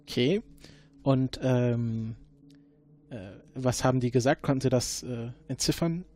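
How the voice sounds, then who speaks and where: A man's voice: medium pitch, a little slow, a little mellow. A man playing an investigator in a tabletop game, hesitant with frequent fillers. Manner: hesitant, many fillers, interrogating. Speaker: player.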